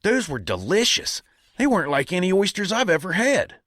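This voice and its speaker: A man's voice: high voice, sing-song, a little fast, a bit bright, dry recording, clean studio sound. A man playing a polite wandering cowboy in a comedic western audio drama, courteous and a little bumbling, with an American drawl. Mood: bumbling, awkward.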